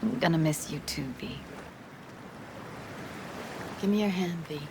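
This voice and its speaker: A woman's voice: fairly low pitch, unhurried, background noise. A woman playing a jaded, weary young woman in a video game, tough but tender, talking in casual, clipped slang. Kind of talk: naturalistic video game voice acting.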